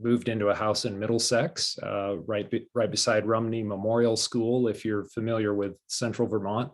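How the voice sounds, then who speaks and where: A man, the new director, casually introducing himself with a friendly, relaxed manner and lots of fillers, over a video call. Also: fairly low pitch, normal speed. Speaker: new director of board services.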